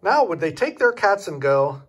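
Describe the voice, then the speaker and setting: An older man reads a children's story aloud with a very animated delivery. His voice is husky and sits fairly high for a man, like a grandfather at storytime. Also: moderate pace.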